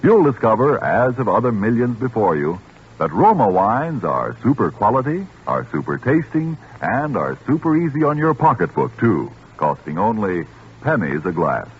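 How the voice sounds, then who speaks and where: A man's voice: on the low side, very animated, normal speed. An American man reading a scripted 1940s radio advertisement as the sponsor's announcer, with polished, formal salesmanship. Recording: husky-sounding muffled 1940s radio recording.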